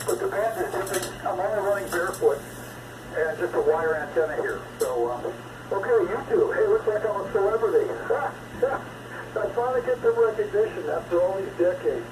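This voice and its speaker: A man's voice: high voice, expressive, normal speed. An older man chatting casually and in a folksy, rambling way over a single sideband ham radio link. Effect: shortwave single sideband radio. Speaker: amateur radio operator. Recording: noisy, echoey, distant single sideband radio reception.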